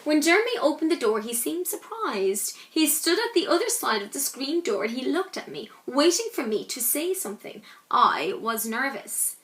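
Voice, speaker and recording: Lady reading a kids book out loud, super lively and bright, dramatic pauses. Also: high-pitched. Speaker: storyteller reading a children's book. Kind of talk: expressive read-aloud storytelling.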